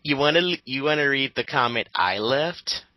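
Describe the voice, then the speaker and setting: A young man chats sarcastically in a group call, picking apart comments. His voice is medium in pitch with a tense, bright edge. The recording is very dry and close. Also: animated, moderate pace, no room sound.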